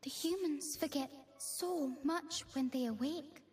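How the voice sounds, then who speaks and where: A whimsical little female fawn spirit from a fantasy game, speaking extremely high and sweetly. She is timid and dreamy, taking her words slowly and gently over soft music. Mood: tender, shy. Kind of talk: video game character voicelines.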